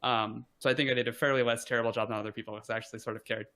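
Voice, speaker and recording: A man's voice: medium pitch, racing. A young man chatting casually as a podcast guest, rambling with lots of "like" and self-deprecating asides. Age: young adult. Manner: relaxed, rambling, frequent "like" fillers, self-deprecating. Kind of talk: casual conversation.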